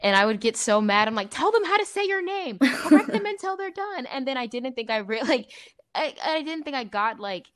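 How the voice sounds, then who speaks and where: A woman's voice: sits high, a little fast. A young woman in casual conversation who speaks emphatically, scattering "like" through her sentences. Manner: animated, emphatic, with frequent "like". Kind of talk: casual discussion.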